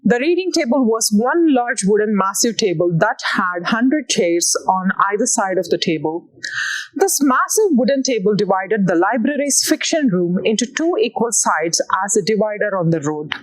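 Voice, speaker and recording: A woman with an Indian accent narrates a novel from a written text. Her voice sits at an average pitch for a woman. She reads as the author, in deliberate phrases that are set apart from one another. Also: animated, rough recording. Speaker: author reading own novel. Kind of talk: scripted narration, reading a novel aloud.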